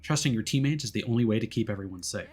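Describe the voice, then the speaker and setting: Read as a male streamer narrating a story game aloud, expressive and theatrical, slipping into casual asides between passages. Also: lowish voice, racing, breathy edge. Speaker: streamer playing a visual novel.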